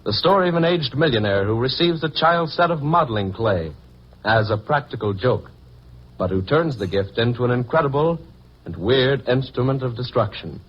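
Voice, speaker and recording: Male announcer, 1940s radio, formal, measured, scripted delivery, husky, muffled old recording. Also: medium pitch, lively intonation.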